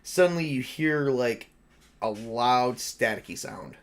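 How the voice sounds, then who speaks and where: A man's voice: medium pitch, animated, takes it slowly. A man running a tabletop roleplaying game, narrating and joking casually with the other player.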